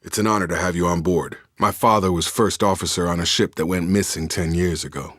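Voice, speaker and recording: An actor playing a steady, dutiful space operative in a video game, with a clipped, matter-of-fact military delivery. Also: male voice, low voice, a little fast, no echo, studio quality. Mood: steady, serious. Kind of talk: scripted video game dialogue.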